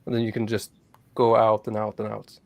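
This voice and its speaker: A man speaking as a guest on a livestream, explaining his project in a halting way with many fillers. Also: lowish voice, monotone, vocal fry, no echo, muffled recording. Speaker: guest, crypto project developer. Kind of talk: casual livestream chat.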